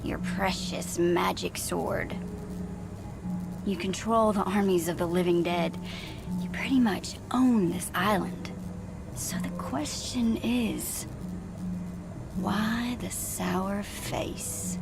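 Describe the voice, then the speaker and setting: A slow, creaky, taunting villainess. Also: female voice, medium pitch. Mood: taunting, sultry. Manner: sultry, mocking, drawn-out teasing. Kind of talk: dramatic game cutscene dialogue. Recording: loud music under the voice.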